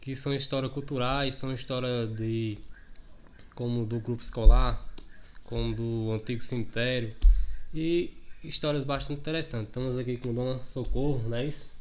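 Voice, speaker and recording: A man's voice: mid-range voice, steady tone, normal speed. A man hosting a local interview, speaking hesitantly with frequent fillers. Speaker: interviewer, host. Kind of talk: casual interview.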